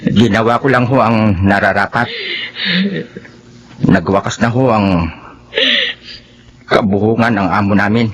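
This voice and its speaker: A man with a Filipino accent playing a respectful employee grimly explaining his boss's misdeeds in a halting way, in a radio horror drama. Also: lowish voice, steady tone, dull audio, a little hiss or hum, close to an everyday voice. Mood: grave, confiding. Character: deferential male employee grimly confessing his boss's crimes.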